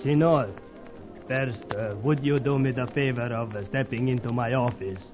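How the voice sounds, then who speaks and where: An actor playing a courtly, guarded South American cabaret owner in a radio serial, formal and hesitant, with a Latin American accent. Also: male voice, mid-range voice, moderate pace, lightly acted. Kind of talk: old-time radio serial acting. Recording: muffled radio serial with clear music.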